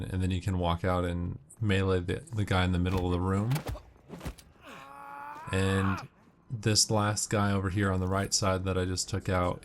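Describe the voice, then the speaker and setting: Man, very deep voice, explanatory gamer walkthrough, noisy track with game gunfire behind. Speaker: gamer giving walkthrough tips. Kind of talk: casual gameplay commentary. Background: video game gunfire and ambience.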